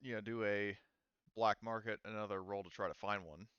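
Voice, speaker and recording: A man's voice: lowish voice, fairly even intonation, brisk, a bit bright, clear, resonant tone, dry recording, dull audio. A man acting as game master in casual tabletop talk, explaining things in a hedging way.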